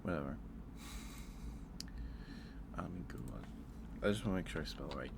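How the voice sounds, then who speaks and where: A soft-spoken man chatting casually as an ASMR livestream host, in a hesitant, rambling way. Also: rumbling low, lively intonation, normal speed, creaky, noisy background. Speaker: live streamer and host.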